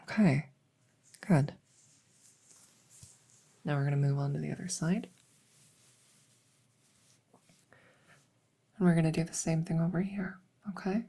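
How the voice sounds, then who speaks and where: A woman playing a gentle, reassuring veterinarian in a soft-spoken ASMR roleplay, hesitant and soothing as she explains. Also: low-pitched, normal speed, pauses often, breathy edge. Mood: gentle, coaxing. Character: gentle, reassuring female veterinarian examining a werewolf patient. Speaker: audio roleplay performer.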